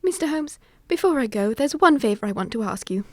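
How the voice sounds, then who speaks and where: Woman playing high-voiced, polite young lady schemer. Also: animated, brisk, dry recording. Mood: wistful gratitude. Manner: polite, earnest, proper. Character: Miss Norton, impressionable, polite young lady schemer, pleading. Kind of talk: old-fashioned detective radio drama acting.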